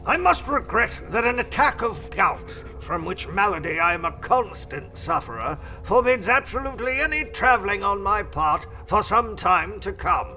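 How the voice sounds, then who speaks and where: A man's voice: high voice, lively intonation, moderate pace, few pauses, sharp tone, full character voice, rough recording. A man playing a courteous employer voicing his formal letter in an audio drama. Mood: formal, regretful. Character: gout-ridden English employer dictating a courteous, formal letter. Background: music.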